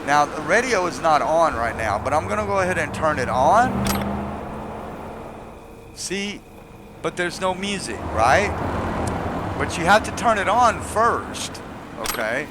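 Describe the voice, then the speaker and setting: A man's voice: on the high side, big pitch swings, a little slow, pauses often, heavy background noise. A man giving a casual, unscripted video game tutorial, explaining step by step in a rambling way. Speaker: gamer giving a mod tutorial.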